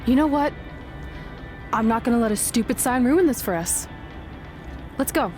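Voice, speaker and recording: Casting: actress as young female wrestler for a game, higher-pitched, driven and sarcastic, dry teasing lines, light music behind. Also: normal speed, natural voice. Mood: determined. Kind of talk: scripted game cutscene dialogue.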